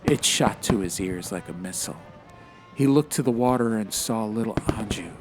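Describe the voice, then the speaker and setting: A man's voice: fairly low pitch, moderate pace. A man narrating a fantasy audiobook in a dramatic, literary style. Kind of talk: scripted fantasy story narration. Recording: music behind the voice.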